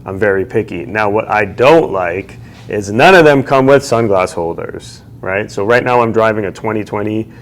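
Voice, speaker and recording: A man's voice: lowish voice, normal speed. A man in his thirties co-hosting a casual livestream in a large car showroom, chatty and laughing often, on a lapel mic. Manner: chatty, laughs often, animated hand gestures. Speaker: car dealership co-host.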